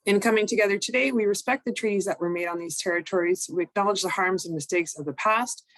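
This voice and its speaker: A woman, recovering from a cold, presenting an online training session in a measured, explanatory way with frequent ums. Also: medium pitch, fairly bright tone, no room sound, poor audio. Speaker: workshop presenter.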